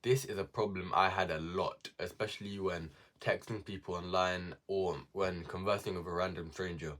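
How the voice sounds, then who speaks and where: A man's voice: deep voice, steady tone, normal speed, a bit bright. A young man giving casual self-improvement advice to viewers, repeating key words for emphasis.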